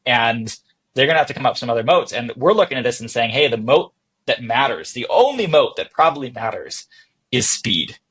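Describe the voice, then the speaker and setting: A man's voice: mid-range voice, big pitch swings, brisk, a bit bright, husky, low-quality recording. A man being interviewed as a startup boss, talking informally and explaining his thinking with examples and questions he poses himself. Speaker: tech startup CEO, interview guest. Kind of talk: conversational podcast interview.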